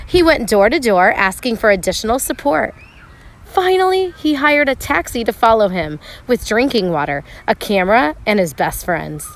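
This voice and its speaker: A woman narrating a children's storybook aloud, expressively, with measured phrasing and dramatic pauses for emphasis. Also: medium pitch. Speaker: teacher reading a picture book aloud.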